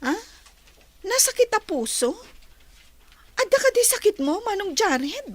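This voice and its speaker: A woman's voice: extremely high pitch, sing-song, measured pace, full character voice. A woman acting a caring, earnest and inquisitive young woman in an Ilocano radio drama. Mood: admiring, concerned.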